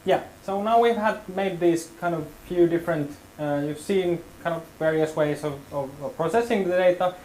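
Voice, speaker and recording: A man's voice: fairly high pitch, normal speed, few pauses, a little mellow, reverberant, slight background noise, rough recording. A man leading a hands-on workshop tutorial, talking casually and hedging with many fillers. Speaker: workshop instructor.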